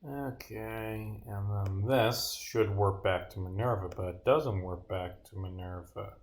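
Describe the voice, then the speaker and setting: A man's voice: on the low side, takes it slowly. A man who develops games, talking casually on a live stream, often swearing and muttering to himself.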